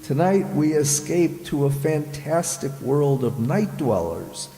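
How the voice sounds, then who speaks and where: A man announcing an old-time radio thriller in a theatrical, ominous style with deliberate pauses. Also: a little high, expressive. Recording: echoey hall, live stage with music. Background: dramatic music.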